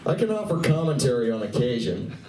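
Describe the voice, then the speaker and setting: A man's voice: medium pitch, very animated, brisk, a little mellow, natural voice. A man narrating a scripted live radio play as a wry storyteller. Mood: storytelling. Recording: roomy live venue, distant mic, faint noise.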